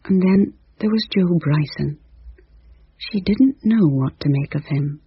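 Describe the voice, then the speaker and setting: A man's voice: sits high, a little slow, husky, no room sound. A man narrating a radio drama in a wry, gossipy storytelling manner. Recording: dry, dull radio recording.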